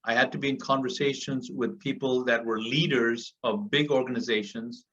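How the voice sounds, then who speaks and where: A man from Africa delivers an earnest, rallying speech to listeners on a group call. His voice is bright and forceful, and he stacks repeated phrases for emphasis. The call audio sounds dull and muffled, with him a little distant from the microphone. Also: medium pitch, fairly even intonation, normal speed. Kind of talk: motivational speech.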